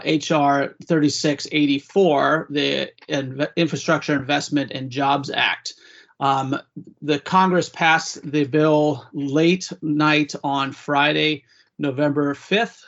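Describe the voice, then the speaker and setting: A man with a hoarse voice from a cold, hosting a policy briefing podcast and speaking haltingly with many fillers and restarts. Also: mid-range voice, a bit bright, highs cut off. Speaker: podcast host, legislative director.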